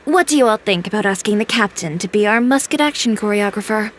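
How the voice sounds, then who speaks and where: A woman playing a guarded, confident young fashion designer in a video game, speaking in a brisk, matter-of-fact way. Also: mid-range voice, animated, natural voice.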